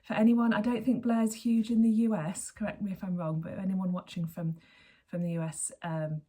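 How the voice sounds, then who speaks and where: A woman in her forties with a hoarse voice, hosting her knitting podcast in a chatty, rambling way and often correcting herself mid-sentence. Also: medium pitch, little pitch movement, moderate pace, mellow. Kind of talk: casual chat to camera.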